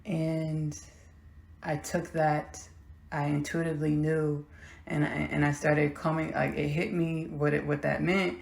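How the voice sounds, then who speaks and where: A woman from Texas, hosting a podcast and delivering a slow, halting spiritual monologue with long pauses. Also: deep voice, flat delivery, vocal fry, darkish tone, roomy sound. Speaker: podcast host.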